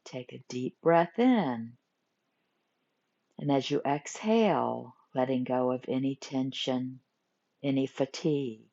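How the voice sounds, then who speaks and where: A woman teaching guided meditation, giving gentle instructions in short phrases with pauses between them. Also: extremely low pitch. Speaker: yoga nidra meditation teacher. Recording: muffled lo-fi recording.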